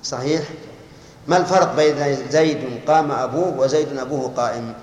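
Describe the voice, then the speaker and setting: A man's voice: mid-range voice, a little fast, a little mellow. A man teaching a grammar lesson, quizzing students and correcting them with firm, short replies. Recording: echoey hall, faint hiss.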